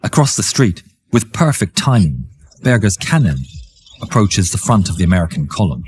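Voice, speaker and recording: A man reading dramatic scripted war history narration in a theatrical, suspenseful way, with a husky, raspy voice. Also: sits low, sing-song, moderate pace, a little mellow, no room sound.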